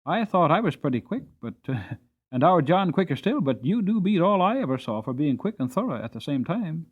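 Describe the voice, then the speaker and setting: A man's voice: a little high, big pitch swings, fairly quick, slightly rough, muffled recording, voice pushed up. A man voicing a good-natured, admiring young groom in an audiobook. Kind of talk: dramatic audiobook character voice.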